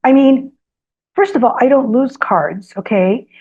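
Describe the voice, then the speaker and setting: A woman's voice: on the high side, a little slow, breathy edge. Hesitant Greek-native woman telling stories. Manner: hesitant, lots of ums, animated when telling anecdotes. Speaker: guest author. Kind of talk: storytelling in an interview. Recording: slightly dull lo-fi sound.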